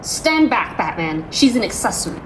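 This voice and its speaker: Woman voicing a curt, animated police detective. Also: medium pitch, moderate pace, a little hiss or hum. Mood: stern. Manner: curt, commanding. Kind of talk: comic dub voice acting.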